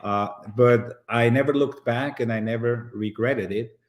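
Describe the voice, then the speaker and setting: A middle-aged man from Switzerland, a boxing promoter being interviewed, speaking in a measured way with frequent pauses. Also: mid-range voice, steady tone. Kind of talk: relaxed interview storytelling.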